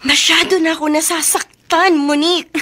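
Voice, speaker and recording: A woman's voice: extremely high pitch, steady tone, normal speed, a bit bright. A woman acting in a Filipino radio romance drama as a lovelorn young woman, pleading and emotionally intense. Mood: hurt, reproachful. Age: young adult. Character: lovelorn young woman secretly in love with her best friend.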